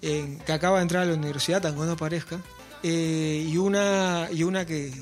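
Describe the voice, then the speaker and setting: A man in his forties speaks as a musician guest in a relaxed interview, his voice sitting high. He talks earnestly, with fillers and repeated words, and soft music plays faintly behind him. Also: fairly quick. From Peru. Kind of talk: casual interview chat.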